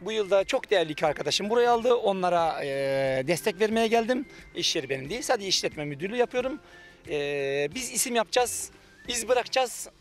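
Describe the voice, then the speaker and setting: A middle-aged man from Turkey talks into a handheld reporter mic outdoors by the sea, his voice sitting a bit high for a man. He hesitates a lot with drawn-out fillers yet sounds sure of himself, while faint music plays underneath. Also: lively intonation. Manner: hesitant, many drawn-out fillers, self-assured claims. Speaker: cafe manager. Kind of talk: on-camera interview. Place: outdoors by a beach under trees.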